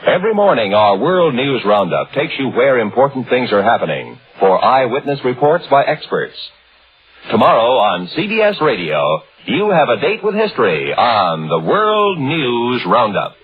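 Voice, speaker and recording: A man's voice: medium pitch, very animated, moderate pace, breathy edge. A man reading a scripted 1950s radio news promo as a formal, declamatory network announcer. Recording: tinny old radio broadcast, phone-like band.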